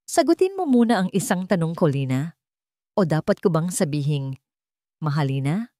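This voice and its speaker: A woman's voice: low-pitched, sing-song, moderate pace, mellow, dry recording, studio quality. Woman playing a betrayed, seething rich man. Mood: cold, dangerous. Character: betrayed wealthy man, cold, seething, then furious.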